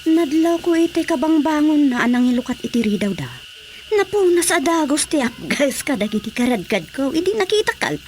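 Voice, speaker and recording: A woman playing an excitable, complaining village gossip in a Filipino radio comedy drama, with a Filipino accent. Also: high voice, a little fast, a little hiss or hum. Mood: gossipy, flustered.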